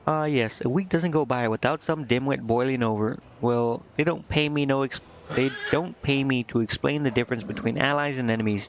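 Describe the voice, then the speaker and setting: A man's voice: mid-range voice, highs cut off, slight background noise, lightly acted. A man reading the lines of a brash, shrewd, one-eyed tiefling merchant from a fantasy game, with occasional stumbles. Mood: scornful. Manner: plain reading of scripted dialogue with occasional stumbles.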